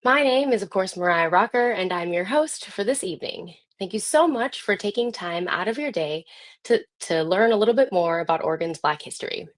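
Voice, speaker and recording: A woman's voice: mid-range voice, expressive, brisk. American woman hosting an online show. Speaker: host. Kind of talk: scripted live online show introduction.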